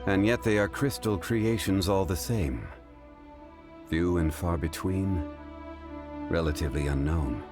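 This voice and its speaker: A deep, calm, formal sage. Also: male voice, over music. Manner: measured, formal, unhurried. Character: calm, formal, ancient-souled scholarly man.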